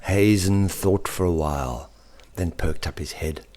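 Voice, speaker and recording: A man narrating a children's story in an expressive, theatrical way, lingering on alliterative words. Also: sits low, measured pace. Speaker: author and storyteller.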